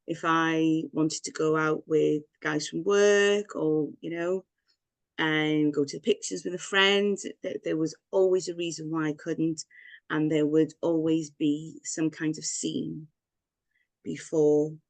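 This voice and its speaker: A woman's voice: low-pitched, fairly even intonation, takes it slowly, gravelly, no echo. A woman from Wales, a guest telling her own story in a rambling, candid way with frequent laughs. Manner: rambling, personal, laughs often. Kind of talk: candid personal story in interview.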